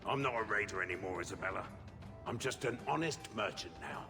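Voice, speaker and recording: A shady, wary merchant who used to be a raider, in a video game. His voice is gravelly and grumbling, ringing in a long reverb under loud music. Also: sits low, animated, fairly quick. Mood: wary, startled. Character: jumpy ex-raider man turned shady merchant. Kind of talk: video game cutscene acting.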